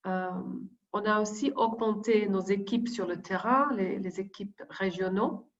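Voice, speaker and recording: A woman deputy coordinator reading prepared opening remarks over a video call, hesitant, with frequent pauses and restarts. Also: medium pitch, dull audio. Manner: hesitant, many fillers and restarts while reading.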